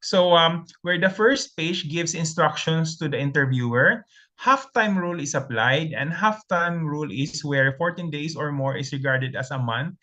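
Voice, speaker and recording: Filipino male pharmacist lecturer, bright, pressing tone, methodical list-by-list delivery. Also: a little high, moderate pace. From Philippines. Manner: methodical, lists items one by one. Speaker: pharmacist presenter. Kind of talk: slide lecture presentation.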